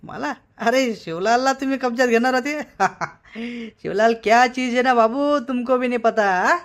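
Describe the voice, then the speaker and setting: A man's voice: very high voice, normal speed, a bit bright. A man voicing a shifty, cocky village fugitive in a comedy cartoon, repeating himself. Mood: boastful. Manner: cajoling, boastful, repeats himself. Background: faint music.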